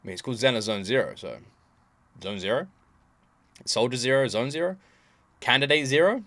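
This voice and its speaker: A man giving casual, unscripted reaction commentary as the host, thinking aloud in an animated, puzzled way. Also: mid-range voice, brisk, no echo.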